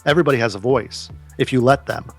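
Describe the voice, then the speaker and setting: A man's voice: mid-range voice, quick pace, soft background music. A man in his thirties, a podcast guest, talking earnestly and casually about business, swearing now and then. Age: 30s.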